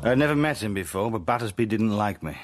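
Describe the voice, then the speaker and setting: An actor playing a laconic, insubordinate British spy in a radio drama, speaking with dry irony and a British accent. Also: male voice, on the low side, animated, fast talker, noisy background, natural voice. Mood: wry narration. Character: wry, insubordinate British spy narrating his own story.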